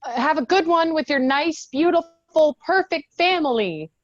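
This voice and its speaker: A woman's voice: sits high, normal speed, no echo, muffled recording, natural voice. A woman acting a wounded, defiant, sarcastic Marine officer in a screenplay table read. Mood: awkward shouting. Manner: sarcastic, emotional.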